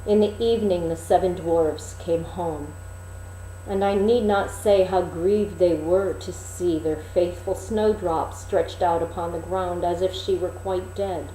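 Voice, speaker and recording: A woman reading a fairy tale expressively, with deliberate, dramatic phrasing and emphasis. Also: on the low side, fairly even intonation, dark tone, reverberant, a little hiss or hum, low-quality recording. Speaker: storyteller, reader.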